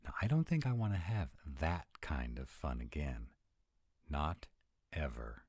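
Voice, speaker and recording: A man's voice: a bass voice, very animated, measured pace, a little mellow, breathy edge, no room sound, clean studio sound, heavily acted. A man voicing a grumpy, rule-bound were-dragon boyfriend in a roleplay audio, speaking with fussy, exasperated emphasis. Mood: reluctant, skeptical.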